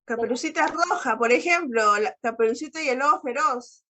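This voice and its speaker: Higher-voiced female co-host. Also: normal speed, bright, forceful tone. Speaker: show co-host. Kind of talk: casual chat.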